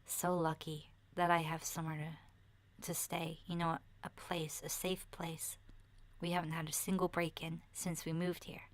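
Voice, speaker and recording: Woman as a soft, breathy low-voiced young girl survivor. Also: natural voice. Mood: grateful. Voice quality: soft, breathy, often slipping into whispers. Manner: hesitant, rambling, youthful casual phrasing. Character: chatty, candid young girl survivor in a zombie apocalypse. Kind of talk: soft-spoken ASMR roleplay monologue.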